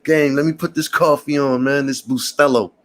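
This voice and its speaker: A man's voice: medium pitch, steady tone, moderate pace. Laid-back New man, slangy. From Puerto Rican family. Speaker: vlogger. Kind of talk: casual morning vlog chat.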